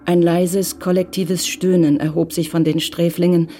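A woman giving calm, measured scripted narration for a radio crime drama. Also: on the low side, soft background music.

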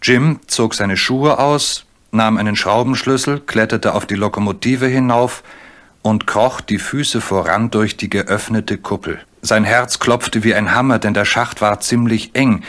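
A man's voice: lowish voice, fairly even intonation, measured pace, a bit bright. A man narrating a children's radio play in German as a scripted storyteller. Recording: faintly noisy, cricket chirps behind.

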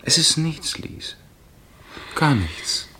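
An actor playing an anxious, suspicious husband in a radio play, brooding and then breaking into agitated outbursts. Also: male voice, on the high side, big pitch swings, very slow, bright, forceful tone, slight background noise, full character voice. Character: tense suspicious husband fearing murder suspicion.

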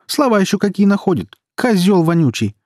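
A man voicing a sharp-tongued young woman, a teasing elder sister who taunts and scolds in an audiobook story. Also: medium pitch, very animated, gravelly, slightly rough, no room sound, studio quality, pitched above the performer's own voice, full character voice. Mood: angry, scolding. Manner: taunting, brash, quick to scold.

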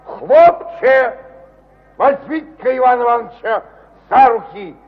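An actor in a mid-century radio play playing a stubborn, coarse provincial landowner with a blunt, mocking manner. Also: male voice, extremely high pitch, expressive, a little slow, full character voice. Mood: angry, defiant. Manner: gruff, mocking, earthy speech. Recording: dull, reverberant 1950 radio recording.

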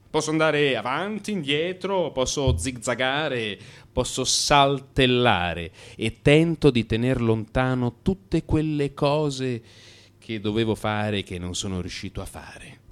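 Restless, frustrated man, widely swinging pitch. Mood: restless, brittle cheer. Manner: confessional, theatrical, swinging from hushed to emphatic. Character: restless, frustrated man in crisis confiding his night walks. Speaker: actor in a radio monologue. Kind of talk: dramatic first-person radio theatre monologue.

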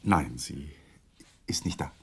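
A man's voice: very deep voice, slow pace, creaky, a little mellow, husky, exaggerated voice. A man acting a nervous, guilt-ridden husband in a radio drama. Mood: hushed. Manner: brooding inner monologue, murmured to himself.

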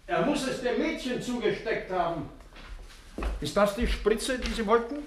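A sardonic, grudging henchman in an old radio thriller. His voice is fairly high for a man and very animated. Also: moderate pace, a little hiss or hum. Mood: dry, businesslike. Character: grumbling male henchman of a criminal doctor. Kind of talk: 1960s German radio drama acting.

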